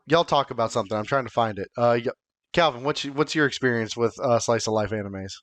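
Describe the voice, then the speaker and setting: Male podcast co-host, smooth clear voice, dry close sound, casual and halting, thinking out loud. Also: medium pitch, no room sound. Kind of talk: casual podcast chat among friends.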